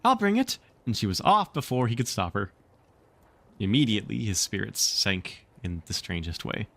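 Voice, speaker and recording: Man reading aloud with lively pitch. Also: moderate pace, gravelly. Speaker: streamer and storybook reader. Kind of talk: casual livestream chat and expressive read-aloud narration.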